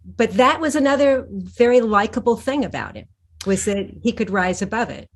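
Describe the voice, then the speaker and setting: A woman author in an interview, answering thoughtfully with frequent restarts and pauses. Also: fairly high pitch, expressive. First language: English. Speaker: historical fiction author, guest.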